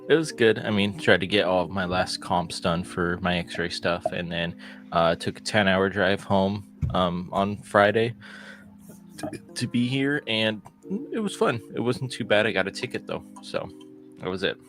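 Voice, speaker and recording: Deep-voiced young man chatting casually. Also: moderate pace, soft background music. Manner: relaxed, hesitant, with restarts. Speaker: podcast co-host. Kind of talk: casual movie news chat.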